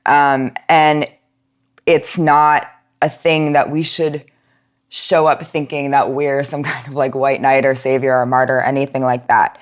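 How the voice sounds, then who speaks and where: A woman speaking as a podcast guest, giving thoughtful conversational answers and pausing mid-thought as she reflects. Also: extremely low pitch, level tone. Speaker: podcast guest, nonprofit outreach and education manager.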